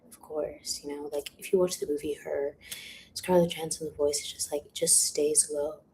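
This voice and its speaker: A young woman chatting casually on a livestream, rambling and giggly, her voice a little hoarse and lower than usual. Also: flat delivery, normal speed, a little mellow, rough recording. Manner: chatty, rambling, giggly, uses filler words a lot. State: voice going hoarse. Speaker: author hosting a livestream. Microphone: phone or webcam in a livestream.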